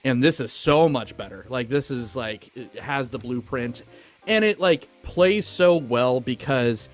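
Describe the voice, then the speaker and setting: A man chats as co-host of a film review podcast in a mid-pitched voice. His talk is casual and profane, with music playing underneath. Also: animated, measured pace.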